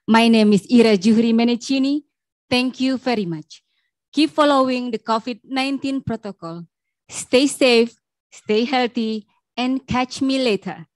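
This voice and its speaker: A middle-aged woman with an Indonesian accent reads event announcements as MC. Her voice sits fairly high. The sound is dry and close, from a standing microphone. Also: no echo. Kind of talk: scripted event announcements, read from paper. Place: event room with dark slatted wall.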